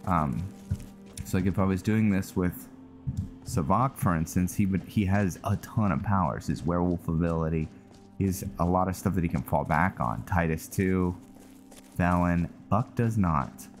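A man giving casual, low-key commentary as he plays a video game, muttering his thoughts aloud. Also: moderate pace. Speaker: gamer, channel host. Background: game music.

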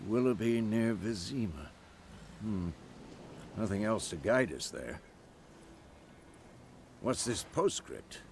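An actor playing a seasoned older monster hunter in a video game, a wry, measured mentor with a gravelly voice. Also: male voice, lowish voice, animated, a little hiss or hum. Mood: inquisitive. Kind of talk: video game cutscene acting.

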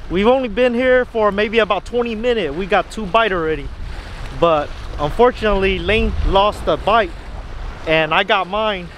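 A man with a high voice talks in a loose, slangy vlog style. He sounds casual and informal, speaking into a handheld camera outdoors, with faint wind noise around him. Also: moderate pace, fairly bright tone. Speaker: fishing vlogger. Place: outdoors on a windy rocky lakeshore. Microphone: handheld camera at arm's length.

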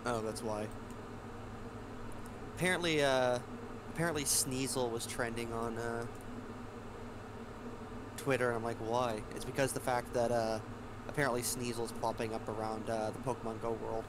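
A man chatting casually on a game stream in a dry, sardonic, halting way. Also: mid-range voice, steady tone, noisy background. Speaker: stream companion. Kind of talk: casual chat, side commentary.

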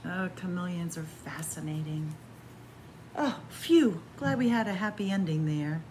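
A woman's voice: lowish voice, unhurried. A woman reading a picture book aloud as a library story time reader, adding chatty, simple asides for young children. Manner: expressive, simple explanations for children. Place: small library room with bookshelves. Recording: light room background noise.

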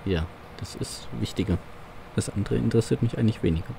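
Casual male gamer, low mellow voice, faint hiss behind. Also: fast, vocal fry. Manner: casual, businesslike in character. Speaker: farm sim roleplay player. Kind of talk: roleplay gameplay commentary.

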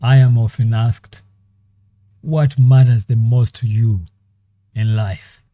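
A man delivers a brooding monologue at a slow, deliberate pace, pausing for effect. His tone is deeply dark and mellow. The recording is dry and close, with no room sound. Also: steady tone, no room sound. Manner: slow, theatrical delivery with long pauses. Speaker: animator and voice actor. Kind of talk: dramatic monologue in five voice takes.

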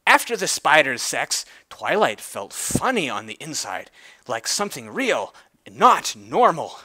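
A man's voice: fairly high pitch, big pitch swings, a little slow, sharp tone, no echo. A man giving a theatrical, mock-serious dramatic reading of a storybook-style tale. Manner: theatrical, mock-serious delivery of clumsy prose. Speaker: reader.

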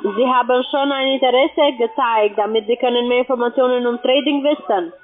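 Through a tinny phone line comes a high-pitched woman's voice. She is a non-native speaker cold calling, formal and halting in her phrasing. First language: not German. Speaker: cold caller.

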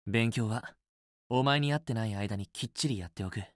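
A man's voice: fairly low pitch, normal speed, no room sound, clean studio sound, lightly acted. A man playing a possessive, teasing young student boyfriend in a romance game, with an intimate, assertive, coaxing delivery. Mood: affectionate, coaxing. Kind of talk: Japanese otome game voice acting, intimate romantic dialogue.

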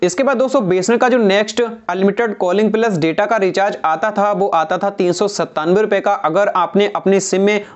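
A young man talking to the camera about phone recharge plans, often calling his viewers "friends", with a clip-on mic in a small indoor room. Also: high voice. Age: young adult. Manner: repetitive, keeps saying "friends", steady explanatory delivery. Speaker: tech channel host. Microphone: clip-on lapel mic.

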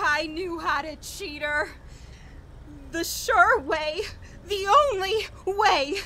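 Actress doing frantic woman losing her mind, old radio horror style, slow dramatic delivery, bit of noise behind. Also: very high-pitched, pushed and bright, exaggerated voice. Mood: hysterical. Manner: halting, overwrought, dramatic. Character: frantic, haunted woman on the edge of madness. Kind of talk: 1940s radio horror acting, dramatic monologue.

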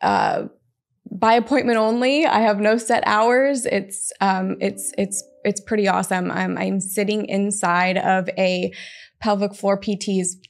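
A woman's voice: mid-range voice, little pitch movement, unhurried, vocal fry. A woman in her thirties, born in Israel, talking enthusiastically as a guest chiropractor in a small studio at a desk mic, with many fillers and restarts. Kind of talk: casual interview. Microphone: desk mic on an arm.

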